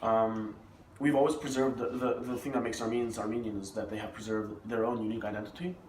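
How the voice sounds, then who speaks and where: A man's voice: lowish voice, flat delivery, fast, a little mellow, recorded from a distance. A young male university student raised in the Middle East, answering interview questions hesitantly with frequent "uh" and restarts. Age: young adult. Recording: roomy, from off mic, faint noise.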